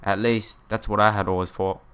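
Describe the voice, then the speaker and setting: A man's voice: on the low side, little pitch movement, fairly quick, fairly bright tone, clear, resonant tone. A man doing a casual let's play of a visual novel, reading the text aloud with side comments and stumbling over some words. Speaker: gaming YouTuber playing a visual novel. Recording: music playing behind the voice. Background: game music.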